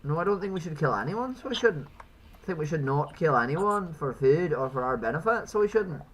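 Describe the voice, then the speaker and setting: A woman's voice: low voice, expressive, brisk, a little hiss or hum, poor audio. A woman streamer questioning strangers casually in a silly, put-on Irish accent full of repeated tag phrases. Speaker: streamer interviewing strangers on a live video chat.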